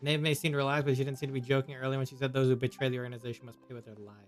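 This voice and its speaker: Casting: male gameplay streamer, mid-pitched, offhand conversational reactions, soft music underneath. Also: little pitch movement, rapid-fire. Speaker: game streamer. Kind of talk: casual live gameplay commentary.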